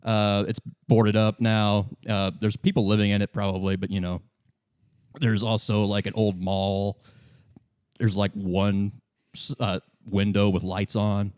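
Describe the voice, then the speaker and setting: A man running a tabletop game as game master, narrating off the cuff in a halting, informal and wry way. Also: fairly low pitch, little pitch movement, darkish tone, clear, resonant tone, no room sound, clean studio sound.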